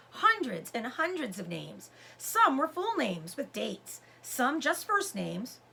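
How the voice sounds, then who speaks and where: Casting: female storybook narrator, high, lively voice, teacherly, deliberate and dramatic phrasing. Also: creaky, husky. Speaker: teacher reading aloud. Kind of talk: expressive children's storybook narration.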